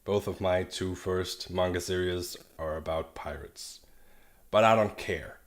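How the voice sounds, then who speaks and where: A low-voiced man talks through a casual manga review. He sounds enthusiastic and rambles, often restarting his sentences. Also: steady tone, unhurried. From Denmark. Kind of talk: casual video review monologue.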